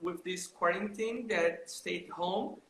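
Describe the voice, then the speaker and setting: A man hosting a live video chat who greets viewers by name and switches into halting English. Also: high-pitched, vocal fry, a bit bright, reverberant, rough recording. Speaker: host.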